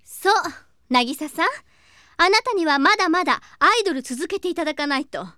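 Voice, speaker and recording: A woman's voice: high voice, animated, moderate pace, bright, forceful tone, no echo, studio quality. A woman playing a haughty young lady, a proud rival idol, with refined speech in an anime audio drama.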